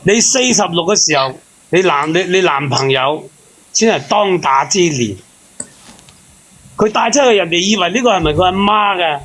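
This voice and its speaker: Man, Hong Kong Cantonese accent, slightly high voice, earnest lecturing, casual relationship advice, call-in panel. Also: lively intonation, normal speed, a bit bright. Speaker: panel member giving relationship advice to a caller.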